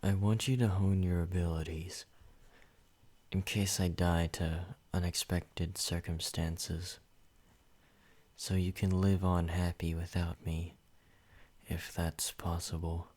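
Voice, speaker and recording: Deep-voiced obsessive vampire lover, played by a man, slow, flat, hushed ASMR delivery. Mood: intimate. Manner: soft, intimate, slow and controlling, slipping into whispers. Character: possessive male yandere vampire, obsessive and menacing. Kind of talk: ASMR roleplay.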